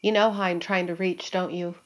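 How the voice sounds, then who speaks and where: A woman's voice: lowish voice, steady tone, fairly quick, lightly acted. A woman playing a sorrowful, yearning small-town wife in a radio play, plaintive and tender.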